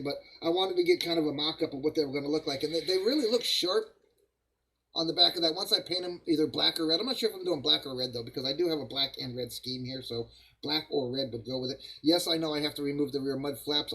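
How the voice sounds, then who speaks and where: A man hosting a casual live stream from his hobby bench, his voice froggy and rough from a cold. He talks in a slightly higher register for a man. He rambles easily and greets viewers by name as he works. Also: sharp tone, poor audio. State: hoarse from a cold, coughing. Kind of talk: casual live-stream chat while model building.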